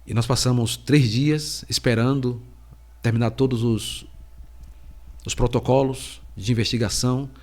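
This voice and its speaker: A middle-aged man, a podcast host and preacher, giving a slow, halting personal faith testimony while he has a cold. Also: medium pitch, fairly even intonation, darkish tone. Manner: slow, halting, heavy pauses.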